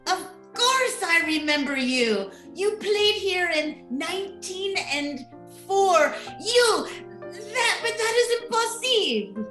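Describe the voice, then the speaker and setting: A woman's voice: extremely high pitch, expressive, slow pace, a bit bright, full character voice. A woman playing a formal continental booking clerk in an old-time radio drama. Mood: formal, astonished. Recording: roomy home audio, music behind.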